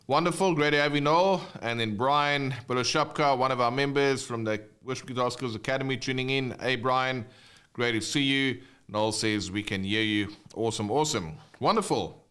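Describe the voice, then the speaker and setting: Male guitar tutor, South African accent, English second language, smooth clear voice at a middling pitch, informal livestream teaching. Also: normal speed, a bit bright. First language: not English (English is second language). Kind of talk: casual live online lesson, chatting with viewers.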